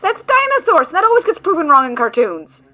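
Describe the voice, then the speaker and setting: A woman's voice: very high-pitched, big pitch swings, brisk, highs cut off, faint music behind. A woman voicing a sarcastic, exasperated female guide who swears often, in a fan audio drama.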